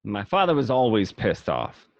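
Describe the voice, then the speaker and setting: A man plays a streetwise gangster narrating his own boyhood with swagger. It is amateur radio drama in a mid-pitched voice, recorded close and dry with a slightly dull lo-fi sound. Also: sing-song, normal speed, gravelly, no room sound. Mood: matter-of-fact, unbothered. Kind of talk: first-person narration in an amateur radio play.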